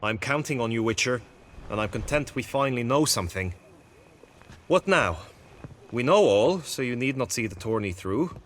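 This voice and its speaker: An actor playing an earnest, anxious, gallant knight in a video game, with formal and florid courtly speech. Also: male voice, mid-range voice, lively intonation, moderate pace, a bit bright, a little hiss or hum, natural voice. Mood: composed, courteous. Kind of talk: dramatic video game acting.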